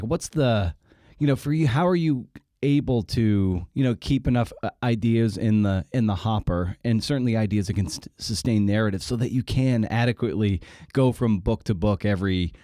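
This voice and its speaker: A male podcast host with a low, dark, relaxed voice. He talks casually and informally, with slangy turns of phrase. The sound is very dry, with no room on it. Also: moderate pace, no echo. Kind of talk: casual podcast intro and interview chat.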